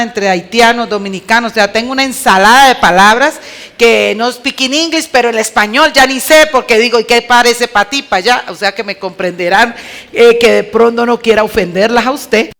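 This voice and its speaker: A woman's voice: fairly high pitch, a little fast, a bit bright. Colombian lady preacher, chatty but emphatic, clipped way of talking, keeps asking the listeners questions. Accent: Colombian Spanish. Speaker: women's conference speaker. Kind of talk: conversational preaching.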